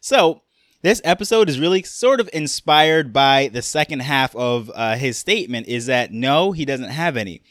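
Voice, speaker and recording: A man's voice: mid-range voice, lively intonation, moderate pace, a bit bright, dry recording, clean studio sound. A young man with a New York accent hosting a casual solo podcast, rambling along with fillers and restarts. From Jamaica, raised in New York. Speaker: podcast host.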